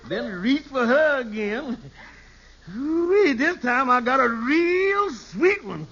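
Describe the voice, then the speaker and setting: An actor playing an excitable, girl-chasing shady lawyer in an old radio sitcom, spinning tall tales with folksy grammar and chuckles. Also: very high-pitched, takes it slowly, breathy edge, exaggerated voice. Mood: gleeful. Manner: chuckles through his stories, folksy nonstandard grammar. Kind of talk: 1950s radio sitcom acting. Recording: muffled, noisy old radio recording.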